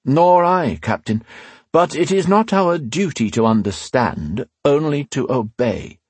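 Brief: icy, matter-of-fact male commander, read by a man, slow and pitched up, dry booth sound. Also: big pitch swings, slightly rough, no echo, full character voice. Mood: dismissive. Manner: measured, dismissive, matter-of-fact. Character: cold thin male army commander, calm and bored.

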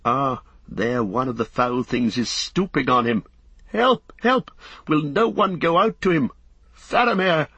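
A man's voice: medium pitch, steady tone, unhurried, few pauses, sharp tone, slightly rough, no room sound, muffled recording, voice pushed up. A man voicing a frightened, devoted guardsman who calls out urgently in a dramatic audiobook. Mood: desperate. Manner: urgent, exclamatory. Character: frightened, devoted guardsman crying out from city walls. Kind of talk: dramatic audiobook character reading.

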